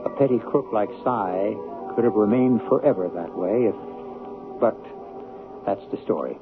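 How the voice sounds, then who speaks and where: A man's voice: fairly low pitch, normal speed, over music. An American man hosting a radio mystery series with dramatic scripted narration. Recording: tinny 1970s radio recording.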